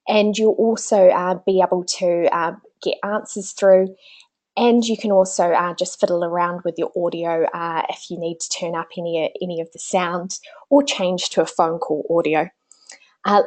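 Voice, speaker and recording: A woman's voice: mid-range voice, dark tone. A woman with a New Zealand accent presenting a business webinar in a chatty way, with many small fillers.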